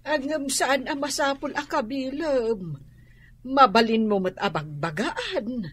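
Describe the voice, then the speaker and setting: A woman's voice: high-pitched, animated, slow, husky, full character voice. An actress playing a concerned, exclamatory village woman in a radio drama. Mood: worried, reproachful.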